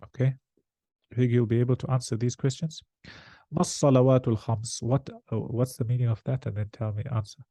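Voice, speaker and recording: A man's voice: mid-range voice, fairly even intonation, quick pace, warm tone, no echo. A man teaching a language lesson one to one, explaining, correcting and translating phrase by phrase as he questions his student. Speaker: Arabic teacher.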